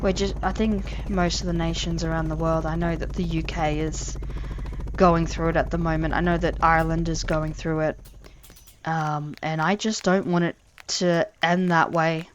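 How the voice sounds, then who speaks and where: A woman's voice: sits low, little pitch movement, moderate pace, dull audio, background noise. An Australian woman in her thirties, chatting casually and earnestly over her gameplay, often trailing off mid-sentence. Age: 30s. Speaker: gaming channel host.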